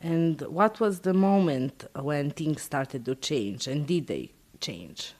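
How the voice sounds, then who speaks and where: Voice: medium pitch, expressive, slow, a little mellow. An interviewer reading questions with some fillers.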